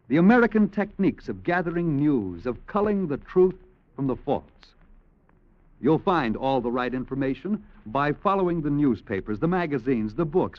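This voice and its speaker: A man's voice: fairly high pitch, dry recording. A man reading a scripted 1950s public service announcement on the radio in an earnest, instructive manner. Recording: muffled 1950s radio recording.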